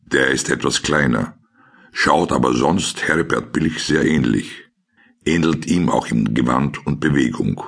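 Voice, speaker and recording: A man reading scripted scene descriptions as a deadpan radio play narrator. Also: a bass voice, measured pace, creaky, breathy edge, heavily acted.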